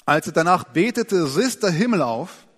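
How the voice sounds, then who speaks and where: A man preaching in a slightly high voice, earnest and expressive. He slows down and goes soft to stress key points. His voice trails off into the long reverb of a large church hall. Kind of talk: sermon.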